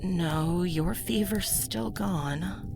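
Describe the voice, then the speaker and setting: A woman speaking gently and caringly to the listener in an ASMR roleplay. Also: on the low side, very slow, creaky. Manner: gentle, caring, soft-spoken. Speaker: audio drama performer. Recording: voice over background music.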